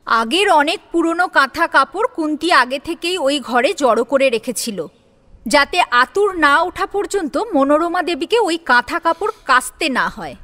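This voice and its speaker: A woman's voice: high-pitched, bright, forceful tone. A woman narrating a scripted dramatic story as co-presenter.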